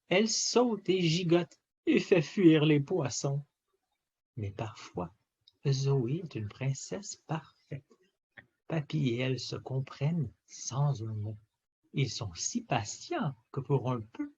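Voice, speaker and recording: A man reading a children's story aloud in an expressive, theatrical way with emphatic phrasing. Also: a little high, takes it slowly, breathy edge, highs cut off. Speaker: storybook reader.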